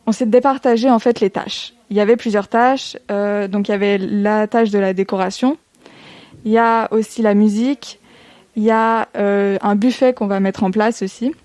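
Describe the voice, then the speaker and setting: Young female volunteer, early twenties, soft dark timbre, mid pitch, informal interview explanations. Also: steady tone, normal speed. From Bourg-en-Bresse, eastern France. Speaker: civic service volunteer.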